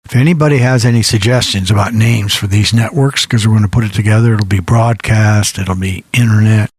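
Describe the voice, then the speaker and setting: A man's voice: on the low side, steady tone, fairly quick, husky, dry recording. A middle-aged or older man hosting a rock music radio show, chatting in a folksy, rambling way as if thinking aloud on a close studio microphone. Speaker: radio DJ and host.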